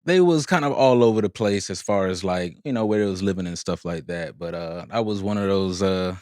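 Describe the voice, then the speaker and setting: A man speaking Arabic as his first language, chatting as a podcast guest in a relaxed, rambling way with lots of fillers. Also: sits low, brisk, dry recording, studio quality. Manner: laid-back, rambling with many fillers. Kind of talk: casual interview chat.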